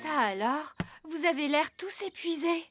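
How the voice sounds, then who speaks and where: A woman voicing a kind, encouraging young farmer in a children's cartoon, animated and reassuring. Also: high-pitched, normal speed. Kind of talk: French animated children's series dialogue. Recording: music behind the voice.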